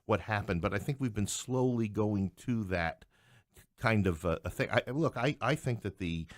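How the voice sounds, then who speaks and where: Middle-aged male podcast guest, lower register, dry recording, explains things in stop-start, rephrased phrases. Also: no echo. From New York City. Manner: halting, explanatory, repeats and rephrases himself. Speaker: podcast guest, playwright and English professor. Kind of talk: conversational political discussion.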